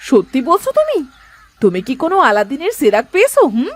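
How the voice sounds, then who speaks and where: Sharp-tongued cartoon village wife, high and swooping, as a woman voices her. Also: wildly swooping pitch, fast, no room sound. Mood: suspicious. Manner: suspicious, scolding questions. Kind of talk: Bengali cartoon voice acting.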